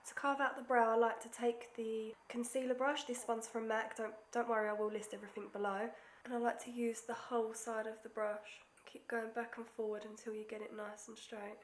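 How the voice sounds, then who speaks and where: A woman's voice: a little high, flat delivery, fairly quick, few pauses. A young woman with a stuffy nose from a cold, chatting casually through a makeup tutorial and sometimes dropping to near-whispers as she concentrates. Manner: chatty, self-deprecating, drops to near-whispers while concentrating. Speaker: beauty vlogger. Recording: echoey room.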